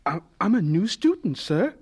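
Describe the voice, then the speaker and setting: A man's voice: high voice, very animated, normal speed, slightly rough, full character voice. An actor playing an earnest, nervous young male student in an audio drama, stammering and deferential. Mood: eager, nervous.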